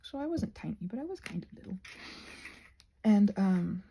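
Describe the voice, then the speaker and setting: A woman chatting casually in a soft-spoken, digressive, self-deprecating ramble as she works on a craft. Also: mid-range voice, big pitch swings, normal speed, gravelly, a little hiss or hum. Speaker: craft vlogger. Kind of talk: casual rambling chat while painting.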